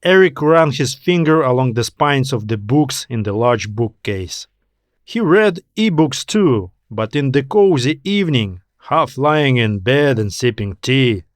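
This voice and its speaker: A man reading a scripted story as an audiobook narrator, in a measured, deliberate storytelling manner. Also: medium pitch, animated, no room sound, studio quality. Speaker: author narrating own story.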